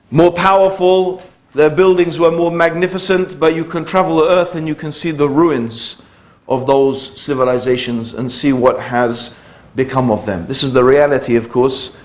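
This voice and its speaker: A man in his fifties speaks in a middle-pitched voice, neither deep nor high. He recounts his early life in a relaxed, digressive way, pausing on fillers and starting phrases over. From United Kingdom. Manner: conversational, digressive, with many fillers and restarts. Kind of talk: public talk, personal storytelling.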